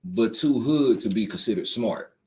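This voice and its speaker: Man, talk show host, loud, emphatic, laughs a lot. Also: fairly low pitch, animated, normal speed, poor audio. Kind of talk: casual podcast chat.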